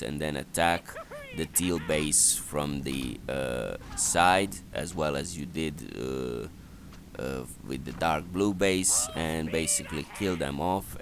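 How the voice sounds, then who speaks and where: A man giving halting, matter-of-fact, instructional commentary on a video game strategy. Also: very deep voice, creaky, noisy background.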